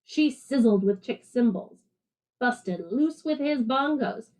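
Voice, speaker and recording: Woman reading as high-voiced jive-talking alley cat. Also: lively intonation, slow pace, a little mellow, low-quality recording. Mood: swinging, enthusiastic. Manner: slangy, jive-talking, rhythmic, playful emphasis. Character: streetwise jazz-loving alley cat narrator, hip storyteller. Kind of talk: picture-book read-aloud narration.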